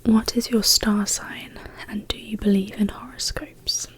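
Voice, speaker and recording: A woman speaking as an ASMR host in a hushed, whispered, casual question-and-answer style. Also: medium pitch, level tone, low-quality recording. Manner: slow, hushed, gently paced delivery.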